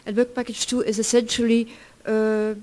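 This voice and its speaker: Halting presenter, mature voice. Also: medium pitch, little pitch movement, a little mellow. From Belgium. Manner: halting, self-correcting non-native English phrasing. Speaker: project work package leader, conference presenter. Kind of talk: informal conference presentation.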